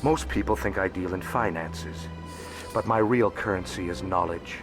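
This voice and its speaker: A man's voice: sits low, normal speed, natural voice. A man playing a shrewd, courteous alien financier in a video game, speaking formally and discreetly over music. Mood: confiding. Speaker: financial advisor and information dealer character. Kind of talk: video game dialogue acting. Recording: music behind the voice.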